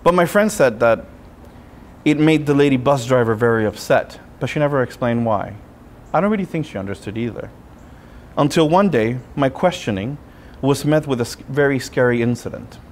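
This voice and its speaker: A man's voice: mid-range voice, animated, normal speed, a little mellow. Man preaching, noisy recording. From Puerto Rico. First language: Spanish. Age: 30s. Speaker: friar preaching. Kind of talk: sermon with personal storytelling.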